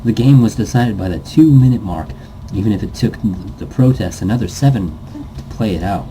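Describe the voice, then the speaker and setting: A man's voice: lowish voice, lively intonation, a little fast, warm tone, slight background noise, rough recording. A man reading a story aloud as a let's player, stumbling over words now and then and breaking into goofy asides. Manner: stumbles over text and self-corrects, goofy. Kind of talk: story narration read aloud with playful asides.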